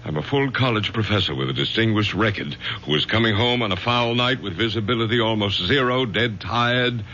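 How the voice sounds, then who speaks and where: A man's voice: lowish voice, fairly quick, sharp tone. An actor playing a stern middle-aged father, a college professor who takes charge in firm, clipped lines, in a vintage radio drama. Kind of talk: 1970s radio drama acting. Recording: dull vintage radio recording with faint hum.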